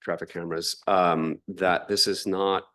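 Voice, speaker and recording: A man chairing a city council meeting, running procedure in a measured, slightly halting way while losing his voice. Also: deep voice, little pitch movement, fairly bright tone. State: losing his voice, clearing throat.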